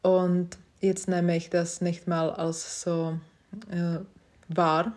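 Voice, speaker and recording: Slow, hesitant woman podcaster, non-native. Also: fairly low pitch, flat delivery, a little mellow. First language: not German. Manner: hesitant, many fillers and restarts; self-reflective, encouraging. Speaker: podcast host. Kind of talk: casual personal monologue.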